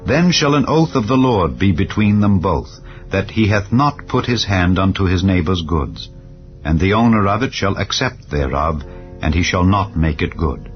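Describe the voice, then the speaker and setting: A man narrating scripture in a solemn, measured, declarative manner, as in a dramatized Bible reading. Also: low voice, lively intonation, dull audio. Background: clear background music.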